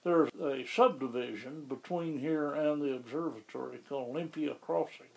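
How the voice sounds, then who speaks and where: A man being interviewed about the town where he lives, explaining things in a measured, conversational way. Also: fairly high pitch, gravelly, darkish tone, poor audio. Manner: measured, explanatory, with occasional restarts. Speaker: interviewee, local resident.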